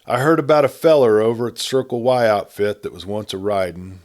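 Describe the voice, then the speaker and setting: A rustic outlaw cowboy performed by a male narrator talks in a fairly low voice. The speech is folksy western dialect, wary and gruff, fit for a campfire storybook tale. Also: brisk, a little mellow, natural voice. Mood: wary, laconic. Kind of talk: audiobook character voice.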